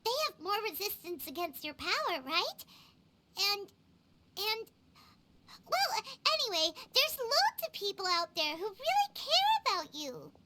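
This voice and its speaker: A woman's voice: very high voice, big pitch swings, measured pace, background noise, full character voice. A woman voicing a tiny floating female companion in a game, bubbly and eager, with a reassuring tone. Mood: cheerful. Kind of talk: video game voice acting.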